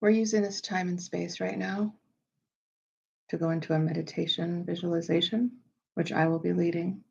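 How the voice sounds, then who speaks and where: Husky, low-voiced woman guiding meditation. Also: steady tone, darkish tone, dull audio. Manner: unhurried, measured phrasing with pauses. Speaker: guided meditation leader. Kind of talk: slow, soothing guided meditation.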